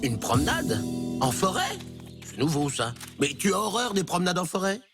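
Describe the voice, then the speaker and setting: A man's voice: high-pitched, sing-song, moderate pace. Awkward inventor father, hesitant and fumbling. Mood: fond. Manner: hesitant, full of ums and stammers. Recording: cartoon dub with music behind.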